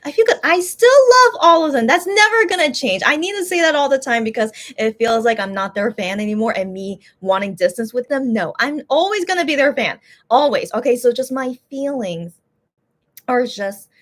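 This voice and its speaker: A woman's voice: high voice, big pitch swings, normal speed, fairly bright tone. A woman vlogger chatting casually to her viewers, often repeating phrases for emphasis.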